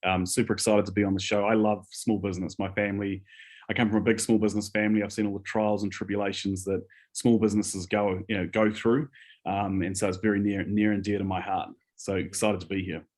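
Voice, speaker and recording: A man's voice: lowish voice, flat delivery, fairly quick, darkish tone. A man with a New Zealand accent talking casually and earnestly as an interview guest, keen, often repeating himself as he finds his phrasing. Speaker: business executive, interview guest.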